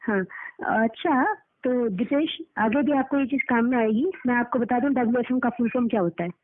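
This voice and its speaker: A woman's voice: a little high, a little fast. A woman arguing as a customer's advocate on a recorded phone call, lecturing and questioning, repeating phrases for emphasis. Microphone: telephone.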